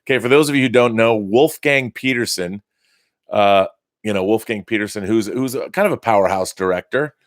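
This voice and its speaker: A man's voice: lowish voice, fairly quick, no room sound, studio quality. A middle-aged man hosting a casual live stream, reading chat messages aloud and rambling with enthusiasm. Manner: rambling, enthusiastic, frequent restarts and laughs.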